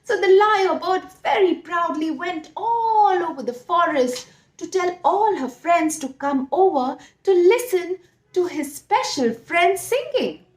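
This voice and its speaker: A woman telling a story to young children, expressive and speaking directly to her listeners. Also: very high-pitched, unhurried. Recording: roomy echo.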